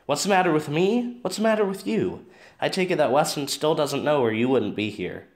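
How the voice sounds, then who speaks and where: A man's voice: fairly high pitch, expressive, fairly quick. A man playing a dry, principled teenage boyfriend in an amateur audio drama. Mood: indignant.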